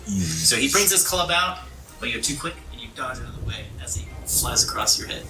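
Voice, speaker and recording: A man's voice: medium pitch, animated, brisk, distant mic, noisy background. A man improvising narration as game master of a tabletop fantasy game. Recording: roomy, off-mic, music underneath. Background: background music.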